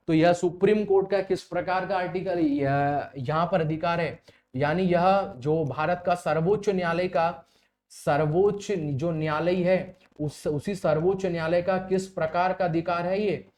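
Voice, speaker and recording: A man's voice: high-pitched, a little mellow. A man lecturing as an exam coach, repeating points and putting questions to students. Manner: repetitive, explanatory, questioning the students. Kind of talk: classroom lecture for exam preparation.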